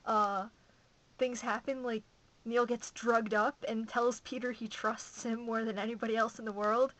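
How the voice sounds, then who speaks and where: A woman's voice: a little high, little pitch movement, unhurried, fairly bright tone, low-quality recording. Gushing young woman vlogger rambling happily. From Massachusetts. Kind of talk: casual video blog chat.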